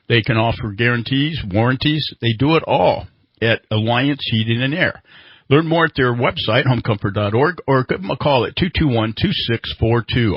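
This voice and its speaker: A man's voice: medium pitch, husky, no room sound, dull audio. A man hosting live talk radio and reading sponsor spots conversationally, repeating words for emphasis, in a studio. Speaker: radio host. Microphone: studio microphone.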